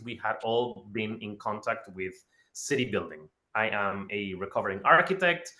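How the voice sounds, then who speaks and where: A man's voice: fairly low pitch, bright, forceful tone. A man from Ecuador giving an enthusiastic webinar presentation over a video call, often repeating words for emphasis. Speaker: guest speaker.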